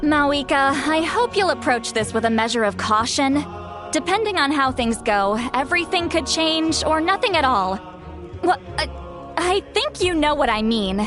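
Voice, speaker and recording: A woman's voice: high-pitched, animated, moderate pace, music in the background. A woman playing an earnest, fretful young female shaman in a video game, speaking in a formal, precise, slightly fussy way. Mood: concerned.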